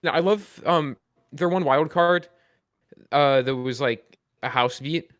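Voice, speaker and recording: A man's voice: on the high side, slow pace, fairly bright tone, clear, resonant tone, no room sound, clean studio sound. A man co-hosting a podcast in casual chat, playful and slangy. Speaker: podcast co-host.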